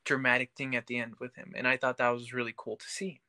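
A young man with a bright, forward voice at an average pitch. He speaks as a podcast co-host in casual discussion, explaining his opinions step by step. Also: level tone, fast talker. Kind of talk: casual panel chat about TV.

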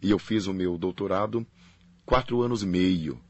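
Deep husky priest. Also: male voice, normal speed, no room sound, muffled recording. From Paraná, Brazil. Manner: calm, explanatory, repeats key phrases. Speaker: priest and faculty representative. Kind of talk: interview guest explaining at length.

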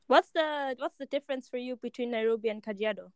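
A woman hosting a podcast in casual, excitable chat, with informal, slangy phrasing. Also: fairly high pitch, quick pace, clear, resonant tone. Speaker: podcast host.

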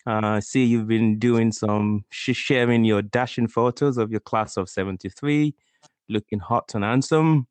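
Low-voiced man hosting a chatty podcast. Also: fairly even intonation, a little slow, a little mellow, no echo. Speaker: podcast host.

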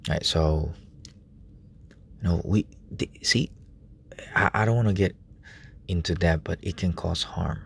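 A man preaching softly at night in a relative's room, speaking in a hushed, halting way with many fillers. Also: low-pitched, creaky, slight background noise. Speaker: lay preacher. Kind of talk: informal late-night sermon.

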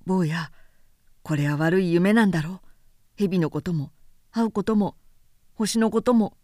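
A woman voicing a grown man in a storybook, an anxious, tender friend speaking to a child in a hushed, pleading way. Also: fairly low pitch, measured pace, no room sound, clean studio sound, pitched below the performer's own voice, close to an everyday voice. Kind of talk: storybook character voice in a literary recitation.

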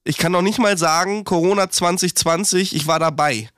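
Chatty German heating technician with a cold. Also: male voice, sits high, normal speed, fairly bright tone, clean tone. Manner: chatty, swearing, rambling with restarts. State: has a cold. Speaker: podcast co-host, heating technician. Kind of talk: casual podcast chat.

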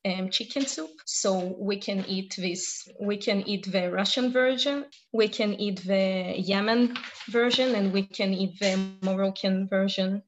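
A young woman from Israel answering interview questions, hesitant and pausing often with fillers. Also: mid-range voice, highs cut off, slight background noise. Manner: hesitant, many pauses and fillers.

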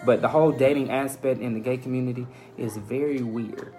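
A young American man chatting casually on a podcast, thoughtful and halting as he searches for his words. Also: medium pitch, fairly even intonation, warm tone. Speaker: podcast guest. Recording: faint music behind the voice.

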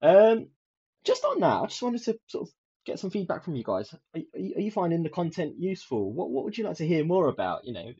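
A young man from the UK hosting his own podcast in a soft, soothing voice, rambling with many ums and restarts. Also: high voice, huge pitch swings, a little fast, husky. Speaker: podcast host. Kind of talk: casual solo podcast chat. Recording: dull lo-fi sound.

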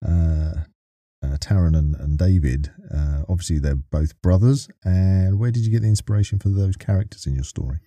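A low, very mellow male podcast host chats casually and feels his way through questions. The recording is dry and close. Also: moderate pace, dry recording. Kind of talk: casual interview chat. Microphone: close studio microphone.

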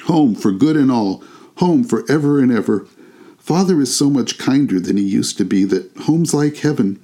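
A man's voice: on the low side, animated, normal speed, mellow. A man voicing a loving, gleeful little girl, eager and affectionate, in an audiobook. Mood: gleeful, tender. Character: little girl, loving sister, brimful of glee. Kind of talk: audiobook character voice.

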